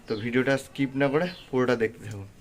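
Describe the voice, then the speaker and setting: A man's voice: mid-range voice, rapid-fire. A man giving a casual, matter-of-fact vlog narration as he walks through his pets' outdoor space. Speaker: pet vlogger showing his pigeon loft. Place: outdoor pigeon loft and garden. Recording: noisy outdoor recording with cooing pigeons and birds. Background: cooing pigeons, birdsong, ambient noise.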